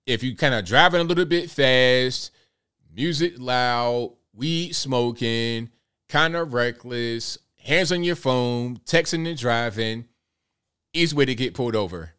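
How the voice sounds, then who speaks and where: A man in his late thirties hosting a casual livestream, talking in a relaxed way and reading out viewer comments. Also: mid-range voice, unhurried, smooth. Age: late 30s. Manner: laid-back, conversational, repeats himself. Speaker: livestream host.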